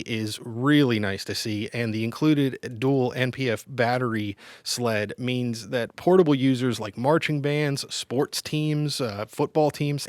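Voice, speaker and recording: Male gear reviewer, middle-pitched, informal and explanatory, recorded dry. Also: dry recording. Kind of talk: semi-scripted product review narration.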